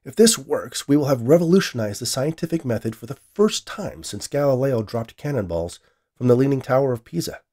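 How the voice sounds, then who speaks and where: A man voicing an ambitious, excitable male scientist with an eager, grandiose manner in a science fiction story. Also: medium pitch, brisk, pitched above the performer's own voice. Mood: excited, anxious.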